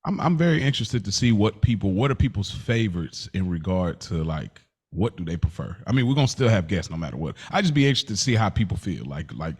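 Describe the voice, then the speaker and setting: A man's voice: sits low, sing-song, fast talker, breathy edge. An American man in his thirties co-hosting a podcast, chatting casually in informal, slangy speech. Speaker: podcast co-host. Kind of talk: casual banter and chat between friends.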